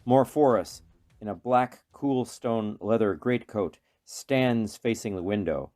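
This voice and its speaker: A man narrating a radio play from a script in a measured, deliberate, dramatic way. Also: lowish voice, a little mellow. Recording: faint music behind.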